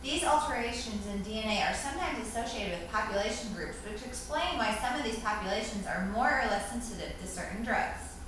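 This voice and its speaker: A woman's voice: a little high, animated, fairly quick, few pauses. A woman in the role of a student, giving scripted answers in a formal, careful recital of definitions. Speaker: student answering quiz questions. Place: reverberant indoor room, distant microphone. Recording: very echoey room, far mic, faint hiss.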